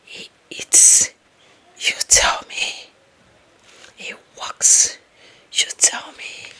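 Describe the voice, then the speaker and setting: A woman with an African accent playing a possessive, lovestruck young office employee in a breathy, whispered ASMR roleplay, coaxing and fawning with slow emphasis. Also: pushed and bright, heavily acted. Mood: conspiratorial coaxing.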